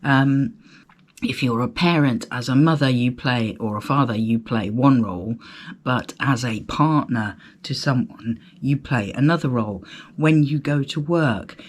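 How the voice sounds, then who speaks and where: Croaky woman, slow chatty podcast talk. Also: a bass voice. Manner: chatty, rambling, self-deprecating, with fillers and laughs. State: croaky throat from a cough. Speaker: podcast host. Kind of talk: solo casual podcast chat.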